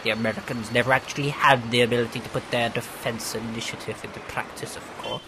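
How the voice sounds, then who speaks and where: A man's voice: medium pitch, fairly even intonation, fast, background noise, natural voice. A man playing a smug, patronizing son who collaborates with the invaders. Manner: patronizing, self-assured.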